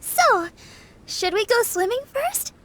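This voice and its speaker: A woman voicing a sweet, happy-go-lucky teenage girl in an animated video game, in a gentle, unhurried, girlish manner. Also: very high-pitched, exaggerated voice. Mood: carefree.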